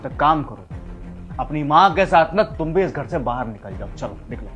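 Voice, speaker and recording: A man's voice: on the high side, big pitch swings, moderate pace, fairly bright tone, highs cut off. A man playing an angry, domineering husband in a melodramatic family drama, speaking scornfully and insistently to his mother-in-law. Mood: cold contempt. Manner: scornful, confrontational, repeats demands insistently. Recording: dramatic music underneath.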